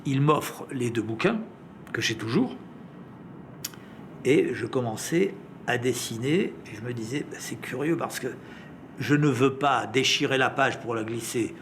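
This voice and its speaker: A man's voice: mid-range voice, a little slow, slight background noise. An older man telling stories in an interview, acting out remembered remarks as he goes. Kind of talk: conversational storytelling.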